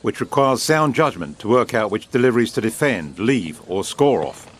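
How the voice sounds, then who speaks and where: An actor playing an urbane, evasive British establishment gentleman who speaks formally and with measured insinuation in an audio drama. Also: lowish voice, expressive, a little hiss or hum, natural voice. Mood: musing.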